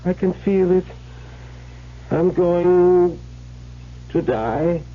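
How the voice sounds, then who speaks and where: An actor playing a wealthy, commanding occult enthusiast in a theatrical, formal 1970s radio drama style. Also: male voice, high voice, full character voice. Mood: dying, frightened. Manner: theatrical, formal, deliberate phrasing. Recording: dull old radio broadcast with music.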